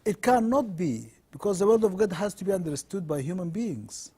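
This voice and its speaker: A middle-aged man from Sudan, a law professor answering interview questions in measured, earnest explanations. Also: high voice, expressive, mellow, breathy edge.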